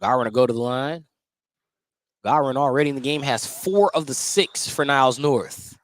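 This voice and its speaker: A man's voice: medium pitch, moderate pace, no room sound. A man doing live radio play-by-play commentary of a basketball game as the announcer. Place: indoor gymnasium. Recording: noisy live gym broadcast. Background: gym noise, bouncing basketballs.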